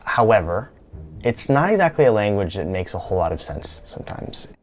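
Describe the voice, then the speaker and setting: A man's voice: lowish voice, very animated, fairly quick, a little hiss or hum. A young man from the United States presenting a scripted vlog in a playful, lightly joking manner. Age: young adult. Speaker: YouTube creator.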